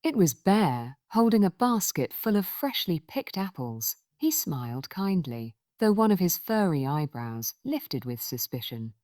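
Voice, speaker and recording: Woman storyteller, lowish pitch, lively intonation, gentle children's narration, dry close sound. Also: measured pace, few pauses, no echo.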